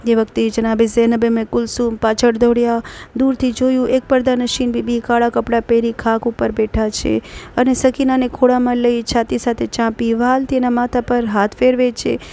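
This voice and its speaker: A woman's voice: a little high, little pitch movement, moderate pace, mellow. A woman reciting a religious mourning account, lamenting and speaking directly to the mourners.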